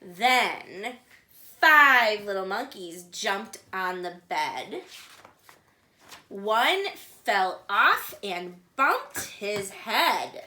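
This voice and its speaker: A woman reading a picture book aloud for young children as a storytime host, with simple, deliberate, singsong phrasing. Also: mid-range voice, big pitch swings, bright, forceful tone. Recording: echoey room.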